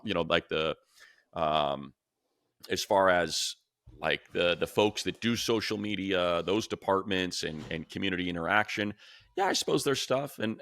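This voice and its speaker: A man's voice: low voice, vocal fry, a bit bright, dry recording, clean studio sound. An American man co-hosting a sports talk show, explaining things in a casual, conversational way. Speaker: sports talk show host and play-by-play announcer.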